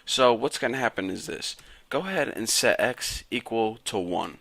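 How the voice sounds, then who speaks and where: A raspy-voiced young man casually teaching a programming lesson to viewers. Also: mid-range voice, lively intonation, normal speed, a bit bright, no echo. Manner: casual, chatty, talks to viewers as guys. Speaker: programming tutor. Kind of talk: casual screen-recorded coding tutorial.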